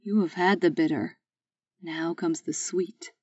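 An actress playing a gentle, wise, middle-aged mother in an audio drama, speaking in a soothing, measured way. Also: fairly low pitch, fairly even intonation, dry recording, recorded from a distance, highs cut off. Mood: warm, reassuring. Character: gentle, wise, loving mother of grown daughters.

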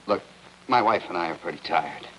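A man's voice: sits low, lively intonation, a little fast, sharp tone. A middle-aged American man playing a protective, bitter husband in a 1950s TV drama, curt and emphatic. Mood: defiant. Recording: noisy old 1950s television soundtrack.

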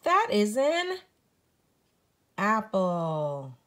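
A woman's voice: medium pitch, wildly swooping pitch, extremely slow, a bit bright, pitched above the performer's own voice, heavily acted. A woman voicing helpful, matter-of-fact farm animals from a children's storybook, speaking in an emphatic, instructive way. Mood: firm, helpful.

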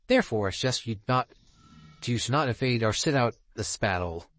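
A man's voice: medium pitch, animated, a little fast, fairly bright tone, no room sound, natural voice. A man voicing a solemn god of time and space, formally announcing the rules as game master in a visual novel. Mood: solemn, commanding. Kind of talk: reading visual novel dialogue aloud.

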